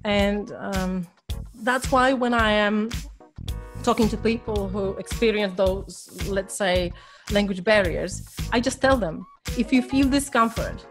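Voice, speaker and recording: A woman's voice: mid-range voice, slow pace, soft background music. A woman with a Polish accent, a language coach giving a hesitant motivational talk with many ums and restarts to her course members. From Poland.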